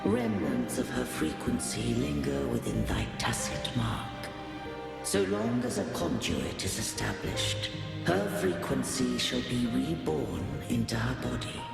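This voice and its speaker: A man voicing an ancient, godlike being in a fantasy game, solemn and weary, with archaic, stately and measured speech. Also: medium pitch, gravelly, exaggerated voice. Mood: solemn farewell. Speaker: game character voice. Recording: cavernous echoing reverb with music behind.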